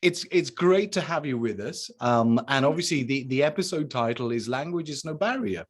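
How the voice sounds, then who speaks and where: Male host, asks long, encouraging questions in relaxed conversation. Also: mid-range voice, normal speed. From north of England. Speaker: podcast host. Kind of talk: casual interview.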